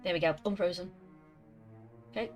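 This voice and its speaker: A woman's voice: lowish voice, fairly even intonation, moderate pace, darkish tone, faint music behind. A woman chatting casually with friends during a group reading. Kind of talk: casual chat among friends.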